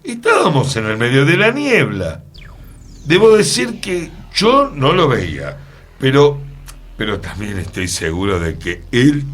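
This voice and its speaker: An actor playing an earnest, mysterious storyteller in a radio play, pausing for dramatic effect. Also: male voice, mid-range voice, sing-song, a bit bright, slightly rough, soft background music, heavily acted. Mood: grave storytelling. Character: earnest, mysterious male storyteller recounting an enchantment. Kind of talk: radio theatre acting.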